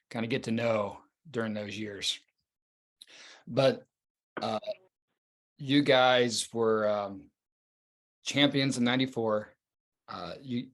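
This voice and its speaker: A man hosting a podcast interview over a video call, speaking in a relaxed, rambling way with fillers and restarts. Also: medium pitch. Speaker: podcast host.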